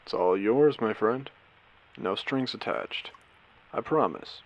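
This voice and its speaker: A man playing a courteous, eerie AI who hosts an abandoned post-apocalyptic casino in an audio drama, speaking theatrically with deliberate pauses. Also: lively intonation, muffled recording, slight background noise. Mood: suave, welcoming. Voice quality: low, creaky voice. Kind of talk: scripted character audio drama monologue.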